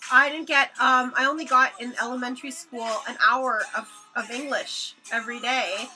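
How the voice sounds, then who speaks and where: Young woman livestreamer whose first language is hebrew, high voice, chatty and sweary, music faint in the back. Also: fairly even intonation, normal speed, poor audio. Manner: chatty, swears freely, laughs often. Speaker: livestream host. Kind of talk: casual chat reading viewer comments. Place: home studio while painting.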